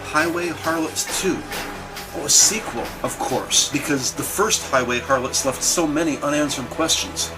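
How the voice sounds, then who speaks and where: A man voicing the narrator of a crime audio drama, describing scenes in a measured, wry, scripted way. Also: on the high side, over music, lightly acted, rough recording.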